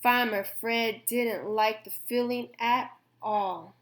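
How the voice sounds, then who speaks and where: A woman reading a children's picture book aloud in an expressive, gentle storytime manner. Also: fairly high pitch, takes it slowly.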